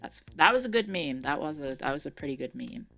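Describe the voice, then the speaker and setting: Low-voiced woman with mixed Irish-English accent. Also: animated, fairly quick, creaky, fairly bright tone, faint music behind. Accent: mixed Irish and English, Australian-tinged. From Ireland. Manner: chatty, self-correcting, wry asides. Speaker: streamer. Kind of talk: casual live-stream chat, reading aloud.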